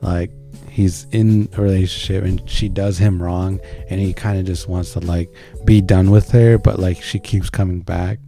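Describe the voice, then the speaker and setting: A man's voice: sits low, level tone, moderate pace, mellow. A man co-hosting a music show, chatting informally and enthusiastically in slangy language as he introduces songs. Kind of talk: casual chat introducing songs.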